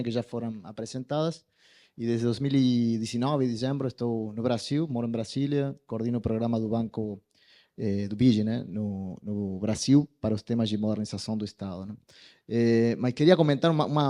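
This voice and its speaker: Middle-aged man, Spanish-native with Argentine accent in Portuguese, warm relaxed tone at medium pitch, halting informal guest speaker. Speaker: development bank specialist, invited speaker. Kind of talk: informal speech to an event audience.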